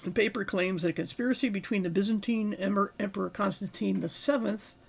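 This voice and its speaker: A woman talks to her livestream viewers with a voice made raspy by a sore throat and sinus pressure. She speaks at a middle pitch, stops for ums and uhs, and clears her throat often. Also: fairly even intonation, fast talker. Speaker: livestream host. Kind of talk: casual livestream talk and article reading.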